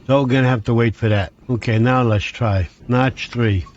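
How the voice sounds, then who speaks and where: A man from Hungary, talking a train simulator through step by step, halting and restarting his sentences. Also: medium pitch, steady tone, darkish tone, dull audio, slight background noise. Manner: halting, with frequent restarts, talks through each control step. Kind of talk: gameplay commentary.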